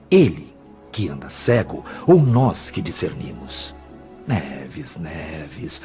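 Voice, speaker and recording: A Brazilian man acting a calm, compassionate spirit mentor who teaches in measured, expressive speech. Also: on the low side. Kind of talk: dramatized audiobook acting.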